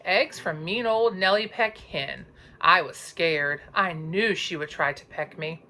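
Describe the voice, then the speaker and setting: A woman's voice: on the low side, pushed and bright. A woman reading a children's story aloud expressively, like a teacher, with dramatic emphasis. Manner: dramatic, drawn-out emphasis on sound words and counting.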